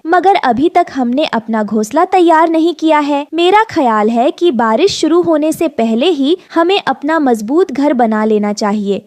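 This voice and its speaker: Earnest high-pitched mother bird, voiced by a woman. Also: darkish tone, no room sound. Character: kind, hardworking mother bird. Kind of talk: animated fable dialogue.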